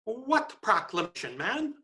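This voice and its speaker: A woman playing a worldly, grumbling brothel madam in a staged Shakespeare reading, with theatrical, drawn-out delivery. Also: mid-range voice, big pitch swings, pushed and bright. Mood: inquisitive.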